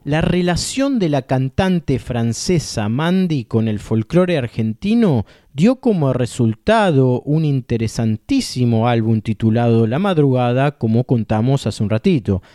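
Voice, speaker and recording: A man's voice: medium pitch, sing-song, unhurried, hardly any pauses, warm tone, no room sound, clean studio sound. A man presenting a radio music program, reading scripted introductions. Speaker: radio host.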